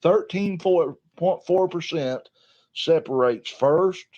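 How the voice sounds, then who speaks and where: A man's voice: fairly high pitch, slow, a little mellow. A man co-hosting a casual wrestling talk show, folksy and drawn out in his delivery. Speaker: podcast co-host. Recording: dull lo-fi sound.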